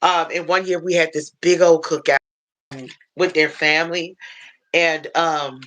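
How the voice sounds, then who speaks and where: An American woman hosting a casual livestream, telling stories in an animated way, repeating phrases and acting out what people said. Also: low voice, measured pace. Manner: animated storytelling, repeats phrases, acts out quotes. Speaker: livestream host.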